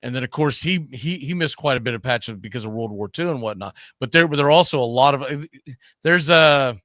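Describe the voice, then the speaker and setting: A man's voice: medium pitch, brisk, a bit bright, dry recording, clean studio sound. A man hosting a live baseball history show, chatting casually and often repeating and rephrasing as he goes. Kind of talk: casual sports chat with co-host.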